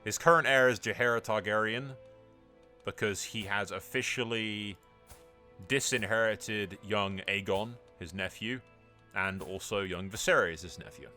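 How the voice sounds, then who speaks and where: Bright, low-voiced man commentating a game. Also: measured pace, faint music behind. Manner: animated storytelling with sweary, irreverent asides. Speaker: gaming video narrator. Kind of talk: casual roleplay game commentary.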